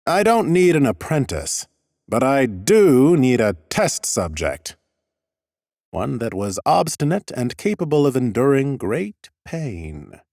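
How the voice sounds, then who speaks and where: Imperious scholarly lich narrator. Pompous, deadpan wit, swooping intonation, dry close studio sound. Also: male voice, medium pitch, slow pace, no echo. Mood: imperious, probing. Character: haughty, dry-witted male lich sorcerer, bored scholarly master. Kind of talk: scripted first-person audiobook narration and dialogue.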